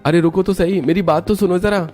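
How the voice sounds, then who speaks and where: A man's voice: sits high, fairly even intonation, a little fast, darkish tone, soft background music, close to an everyday voice. A man playing a mild, apologetic young husband in a cartoon story, speaking plainly and placatingly. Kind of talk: Hindi cartoon story dialogue.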